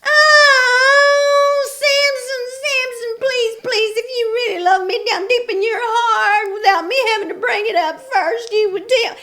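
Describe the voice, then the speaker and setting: A woman voicing a whiny, scheming young woman, pleading in a high, drawn-out voice. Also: fairly even intonation, bright, forceful tone, exaggerated voice. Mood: whining.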